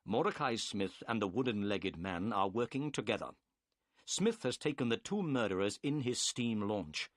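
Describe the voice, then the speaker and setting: A man voicing a calm, clever male detective who speaks politely, briskly and with assurance. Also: fairly low pitch, no echo, clean studio sound. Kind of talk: audiobook character voice.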